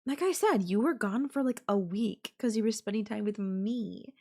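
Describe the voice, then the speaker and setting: A woman playing a possessive, doting young yandere girlfriend in an intimate audio roleplay, speaking coyly and affectionately with lingering pauses. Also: medium pitch, darkish tone, lightly acted. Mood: protective, scornful.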